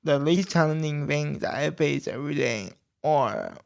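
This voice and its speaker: A young man hosting his own podcast, talking slowly and deliberately about everyday life, with pauses and restarts. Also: a little high, vocal fry. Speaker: podcast host. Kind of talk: casual personal talk.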